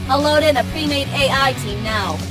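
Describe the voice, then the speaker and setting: A woman playing a bossy, short-tempered young female mech pilot and team leader in an anime-style game, brisk and commanding. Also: sits high, close to an everyday voice. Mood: brisk, businesslike. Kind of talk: anime-style visual novel voice acting. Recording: music behind the voice.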